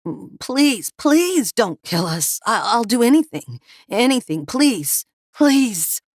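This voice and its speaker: A terrified man stammers and sobs through his pleas, his voice pushed up high and strained, as a woman reads him. He sounds close to breaking, in a dry, close audiobook take. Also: big pitch swings, takes it slowly, no room sound, heavily acted. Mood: begging. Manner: sobbing, stammering, pleading. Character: terrified male researcher, sobbing and begging.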